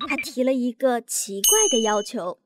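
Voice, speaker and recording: Storybook narrator: woman, high, very expressive delivery. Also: normal speed, over music. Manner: lively, expressive. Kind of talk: scripted bedtime story narration.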